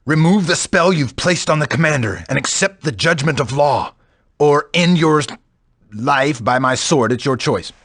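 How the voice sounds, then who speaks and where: A man's voice: a little high, animated, unhurried, a bit bright, dry recording, heavily acted. A man playing a stern, righteous swordsman in a fantasy game, recorded during studio takes. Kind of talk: scripted game dialogue, studio outtakes.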